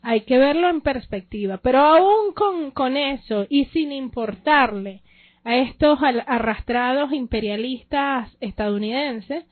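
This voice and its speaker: A woman's voice: sits high, expressive, measured pace, dry recording. A woman hosting a political debate show, speaking in a pointed, emphatic way. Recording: dry tinny phone-like sound.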